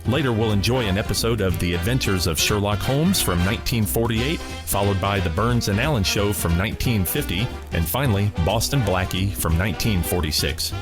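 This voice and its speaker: A male host giving a scripted, steady introduction to vintage radio episodes. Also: on the low side, fairly quick, over music.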